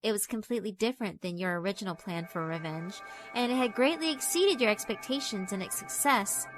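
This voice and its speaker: A woman's voice: mid-range voice, a little fast, music in the background, close to an everyday voice, poor audio. A woman voicing a sinister, cruelly amused female school spirit in a horror visual novel, theatrical and mock-polite. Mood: cold, mocking. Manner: theatrical, mock-polite, taunting rhetorical questions.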